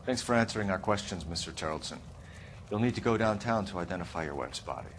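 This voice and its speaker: An American actor playing a stern, driven homicide detective in a video game, questioning in a clipped, formal, probing way. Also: male voice, sits low, expressive, fast talker, slight background noise. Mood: measured, probing. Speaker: police detective. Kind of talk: video game dramatic acting, interrogation.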